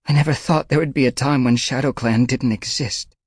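A man's voice: medium pitch, fairly even intonation, a little fast, breathy edge, no echo, clean studio sound, pitched above the performer's own voice, close to an everyday voice. A man doing a shaky, grieving cream she-cat. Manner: shaky, lamenting.

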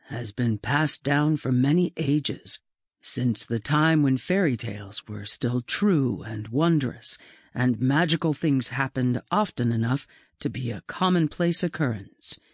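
A narrator voicing a gentle, mysterious male librarian who speaks softly and reverently, with measured dramatic pauses, in a storybook reading. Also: medium pitch, slightly rough, no echo, clean studio sound, voice pushed down. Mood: hushed, reverent.

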